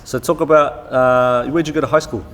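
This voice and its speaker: A man's voice: medium pitch, steady tone, fairly quick, a bit bright. Casual male interviewer in a reverby room. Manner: casual, hesitant with uhs and restarts. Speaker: interviewer, coach. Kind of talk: interview host questions.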